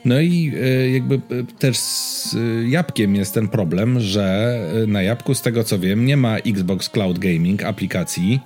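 A man in a casual tech and gaming chat, speaking haltingly and often stammering and restarting his words. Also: fairly low pitch, darkish tone, soft background music. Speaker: podcast co-host.

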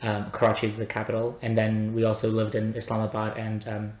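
A chatty young man in his twenties talks casually as a podcast guest, peppering his sentences with "um" and easy laughter. His voice sits low and fairly flat, and the room around him rings with echo. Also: fairly quick. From born in Afghanistan, raised in Pakistan and Canada. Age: 20s. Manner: chatty, laughs often, many "um"s. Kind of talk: casual chat. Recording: echoey room.

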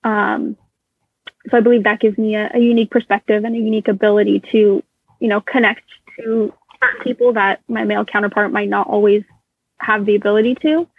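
A woman in her twenties, first raised on Russian, talks through interview answers at an even, middle pitch. She weighs her words and drops in small fillers. Her voice comes over a thin, phone-like line with no room sound. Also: a little fast, creaky, breathy edge, no room sound. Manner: thoughtful, hedging with fillers. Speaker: interview guest. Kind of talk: casual interview conversation.

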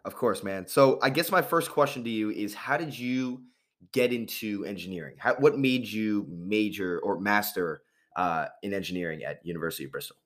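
A man's voice: fairly low pitch, normal speed, a bit bright. A man hosting a sports radio interview, enthusiastic and effusive, often repeating his praise and chatting casually.

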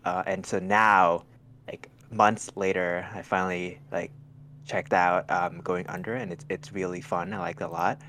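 Young adult man from Thailand, very low voice; halting casual guest, lots of restarts. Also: flat delivery, pushed and bright, a little hiss or hum. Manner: hesitant, many restarts and "like" fillers. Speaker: podcast guest. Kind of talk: casual chat over a call.

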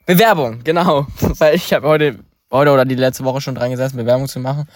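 A man's voice: mid-range voice, fairly even intonation, brisk, no room sound, clean studio sound. A teenage young man chatting casually as podcast co-host, rambling and hesitant with many filler sounds. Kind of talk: casual chat.

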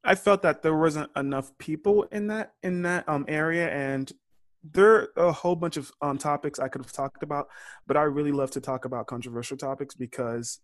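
A man's voice: on the high side, fairly even intonation, fairly quick, dry recording, clean studio sound. A young man, a university student, talking earnestly about his podcast in a casual interview. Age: young adult. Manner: earnest, eager to debate. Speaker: podcast host, guest.

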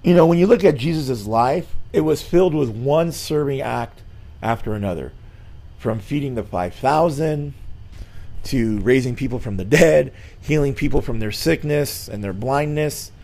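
A slightly hoarse middle-aged man hosting a weekly church show, giving an earnest, informal Bible lesson with many fillers and restarts. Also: medium pitch, expressive, normal speed, mellow. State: slightly hoarse, losing his voice.

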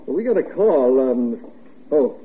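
An actor playing a polite, hesitant police officer in an old-time radio drama, speaking courteously and matter-of-factly. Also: male voice, mid-range voice, big pitch swings, a little slow. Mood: apologetic. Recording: tinny, noisy old radio recording.

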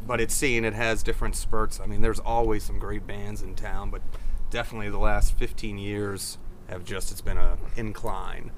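A man in his forties who grew up in Virginia talks in a low, level voice, steady and unhurried. A faint hiss sits under the recording. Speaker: band member. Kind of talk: casual chat.

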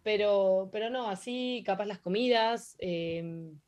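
A woman's voice: medium pitch, slow, darkish tone. A young woman with an Argentine accent answering interview questions casually, with many fillers and restarts. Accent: Argentine Spanish. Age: young adult. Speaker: interviewee, hockey player.

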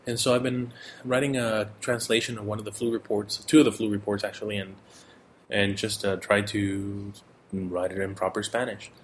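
Man, middle-aged, from Mexico, low voice, casual rambling update, fillers, faint noise behind. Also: moderate pace. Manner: relaxed, rambling, with fillers and self-corrections. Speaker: audio blogger.

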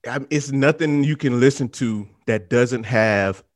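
A man's voice: mid-range voice, unhurried, no room sound, studio quality. A man hosting a podcast, talking in a casual, slangy way and tossing in "man" and "you know" often. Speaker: podcast host. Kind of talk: casual interview chat.

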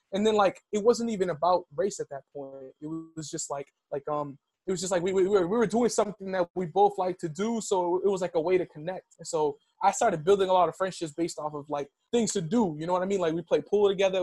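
High-voiced young man, rambling and animated. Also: no echo. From Dominican. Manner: animated storytelling, heavy use of "like". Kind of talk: casual conversation.

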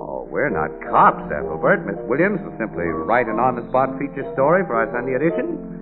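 Actor as lively, wisecracking newspaper photographer, tinny old radio. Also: male voice, mid-range voice, brisk, lightly acted. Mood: breezy, wry. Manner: slangy, confident banter. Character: breezy, wisecracking male newspaper crime photographer. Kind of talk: 1940s radio drama acting. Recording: tinny, band-limited old radio recording with music.

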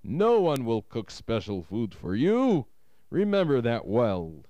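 A man voicing a gruff Russian uncle in a told story, blunt and quick to turn from jovial to scolding. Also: mid-range voice, wildly swooping pitch, mellow, no room sound. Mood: angry, scolding. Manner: blunt, scolding, rhetorical questions.

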